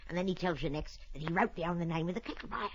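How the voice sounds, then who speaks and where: Radio sidekick played by a woman: excitable, folksy old-timer, emphatic drawl, dull noisy vintage sound. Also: on the low side, quick pace, natural voice. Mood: indignant. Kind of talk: old radio Western acting.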